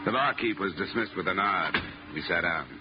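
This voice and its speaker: An actor playing a cool, wisecracking hardboiled insurance investigator in 1950s radio noir, with dry, clipped, deadpan delivery. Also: male voice, deep voice, normal speed, lightly acted. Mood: dry, narrating. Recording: muffled vintage radio recording, loud music.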